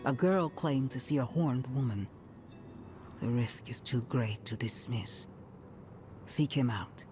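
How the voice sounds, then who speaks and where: An actor playing a grave, pious church leader in a video game cutscene, speaking formally and with measured weight. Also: medium pitch, warm tone, faint music behind, natural voice. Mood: solemn, resolute. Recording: orchestral game score behind voice.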